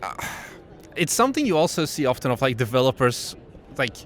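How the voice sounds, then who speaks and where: A man's voice: medium pitch, big pitch swings, fairly quick, a bit bright. A young man hosting a casual interview, asking long questions packed with "like". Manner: heavy use of "like", rambling questions. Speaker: podcast host.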